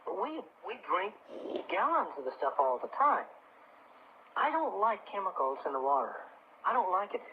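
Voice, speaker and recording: A man's voice: high-pitched, big pitch swings, moderate pace. A middle-aged American man telling anecdotes as a radio guest in a folksy, chatty, emphatic way. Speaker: radio show guest, crystal expert. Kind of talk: animated anecdotal storytelling in a radio interview. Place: live radio broadcast. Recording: muffled phone-like 1980s radio tape, hissy.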